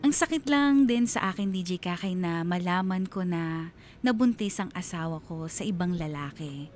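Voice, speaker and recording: A man's voice: high-pitched, normal speed, natural voice. Earnest, sorrowful husband narrating over music played by a man. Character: wronged husband narrating his letter of heartache. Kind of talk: first-person radio drama narration. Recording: music bed behind the voice.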